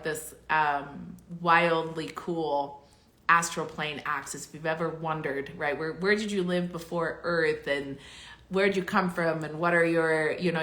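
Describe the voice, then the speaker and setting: A woman talks straight through a casual live stream, rambling and gushing with a steady stream of fillers. Her voice is bright and a little raspy, and she sounds congested. The sound comes through with a noticeable room echo. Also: deep voice. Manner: rambling and effusive, many fillers. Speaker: spiritual coach hosting a live stream. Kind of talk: casual livestream monologue.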